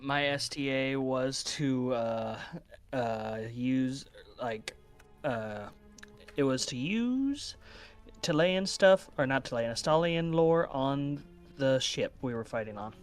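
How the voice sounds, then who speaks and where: A man's voice: mid-range voice, lively intonation, slow pace, clear, resonant tone, soft background music. A man chatting casually as a player in a tabletop roleplaying game.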